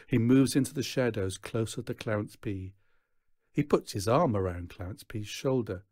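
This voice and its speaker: A man's voice: lowish voice, normal speed, darkish tone, dry recording. A man reading a children's story aloud as scripted narration. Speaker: audiobook reader.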